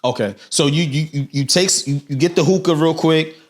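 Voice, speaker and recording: Hyped young man hosting a podcast. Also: fairly high pitch. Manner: hyped, laughing, quick restarts. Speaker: podcast host. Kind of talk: casual interview chat.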